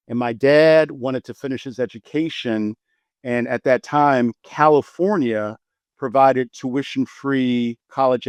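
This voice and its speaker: A man in his sixties, a physician guest in an interview, telling his story in an animated way and repeating words when excited. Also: medium pitch, clean tone, no echo. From United States. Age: 60s.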